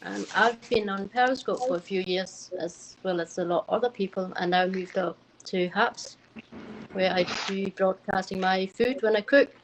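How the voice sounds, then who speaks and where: A woman's voice: mid-range voice, normal speed. A woman from Denmark, chatting casually as a livestream guest. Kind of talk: casual chat. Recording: noisy live stream.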